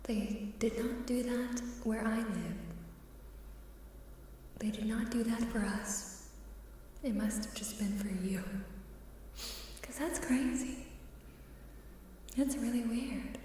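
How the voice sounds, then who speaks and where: A soft-spoken woman chatting playfully and coyly in an ASMR style, often dropping into a whisper. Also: on the high side, slow pace, pauses often. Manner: playful, coy. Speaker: ASMR live streamer. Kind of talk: ASMR casual chat with whispering. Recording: reverberant hall-like echo, faint hiss, distant.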